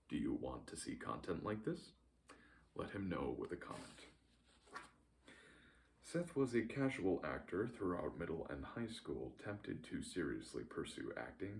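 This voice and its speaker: A man reading a scripted recap as a narrator, with a dry, deadpan delivery. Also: rumbling low, gravelly.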